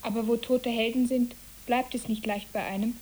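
A woman's voice: a little high, fairly even intonation, moderate pace, a little mellow, natural voice. A woman playing a wondering child who recounts a dreamlike walk in a literary radio play. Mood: hushed, wondering. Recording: faint hiss.

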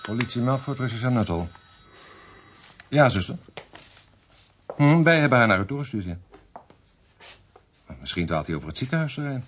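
An actor playing an earnest, slightly testy junior police detective in a radio play, with Netherlands Dutch speech and a formal, pointed manner. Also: male voice, mid-range voice, very animated, moderate pace, pauses often, husky. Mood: concerned, businesslike. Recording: noisy archive radio play recording.

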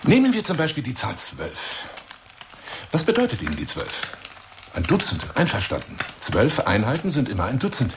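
A man's voice: medium pitch, huge pitch swings, normal speed, noisy background. An actor in a radio drama monologue playing a pompous, flirtatious, lonely self-styled lion hunter who courts a woman by telephone in grandiloquent, insistent phrases. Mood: rhapsodic.

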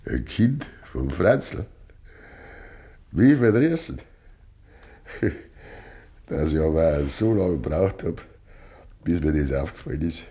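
An actor playing a stubborn, grieving old farmer with a Bavarian accent and quiet tenderness in a 1950s dialect radio play. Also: male voice, extremely low pitch, sing-song, slow, pauses often, exaggerated voice. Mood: moved, pleased. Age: older. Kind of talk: 1950s German dialect radio drama acting.

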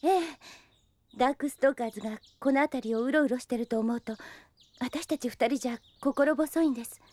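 A woman's voice: high voice, a little fast, a little mellow, lightly acted. A woman playing a kind, protective young older sister in an anime audio drama, polite and motherly. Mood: gentle, caring.

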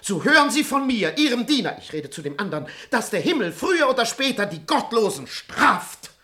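An actor playing a timid, moralizing servant in a classical radio comedy, deferential, fussy and theatrical. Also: male voice, very high-pitched, very animated, brisk, sharp tone, full character voice. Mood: indignant preaching. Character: timid, moralizing, long-suffering male servant.

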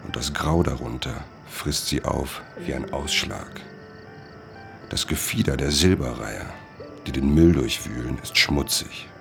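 A man playing a sombre traveller, narrating a literary radio drama in a measured, descriptive way. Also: a bass voice, gravelly, exaggerated voice.

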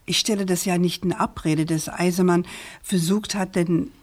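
A woman acting as an investigative journalist in a radio crime play, with a fairly low voice. She is persistent and probing, putting her questions deliberately and refusing to let go. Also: fairly even intonation, natural voice. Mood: probing, skeptical. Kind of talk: naturalistic German radio crime drama.

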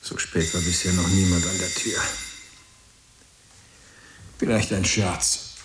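A very deep-voiced, solitary night-time pastor in a radio drama. He speaks very slowly and precisely, in a reverberant room with clear background noise. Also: steady tone. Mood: grave, wary. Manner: slow, measured, precise. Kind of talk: radio play acting.

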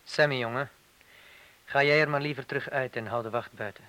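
A man's voice: medium pitch, steady tone, fairly quick, pushed and bright, lightly acted, low-quality recording. A male actor playing an impatient, cursing burglary crew leader in a radio play. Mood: irritated.

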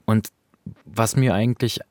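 A man's voice: lowish voice, fairly even intonation, a little slow, a little mellow, dry recording, clean studio sound. A man co-hosting a podcast, reviewing thoughtfully and searching for precise wording in conversation. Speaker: podcast co-host.

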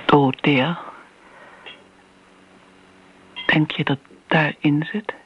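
A woman's voice: low-pitched, steady tone, measured pace, slight background noise. An actress playing an elderly, wistful and fussy family friend in a Dutch radio play, rambling and flustered as she justifies herself. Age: older. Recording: dull, band-limited old radio recording.

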